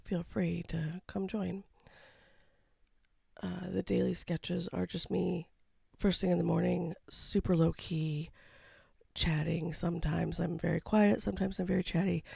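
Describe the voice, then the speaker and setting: Froggy from a cold, husky and breathy, mellow and dark, rambling casual artist voiceover. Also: dry recording, studio quality. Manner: low-key, rambling, soft-spoken. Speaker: watercolor artist. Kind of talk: casual voiceover over a painting time-lapse. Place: home studio.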